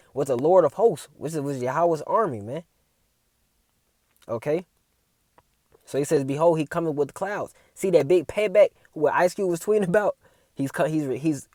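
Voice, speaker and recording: Man, preacher, dark mellow tone, dry close sound, casual preachy commentary, keeps saying "man" and "all right?". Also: on the high side, no echo. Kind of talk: casual religious commentary video.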